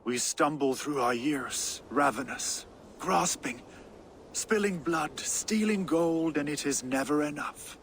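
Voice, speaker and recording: A male actor playing a world-weary, brooding Viking warlord in a video game, with a grave, deliberate delivery. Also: on the high side, expressive. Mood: weary, searching. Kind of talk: dramatic video game acting. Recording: echoey reverberant space, loud background noise.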